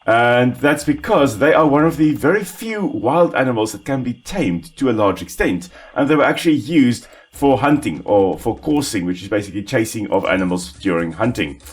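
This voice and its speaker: A man, an Afrikaans speaker, calmly explaining ecology while playing a simulation game, with small restarts. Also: mid-range voice, moderate pace, few pauses, husky. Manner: explanatory, calm, with small self-corrections. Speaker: ecologist gaming host. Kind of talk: educational let's-play commentary.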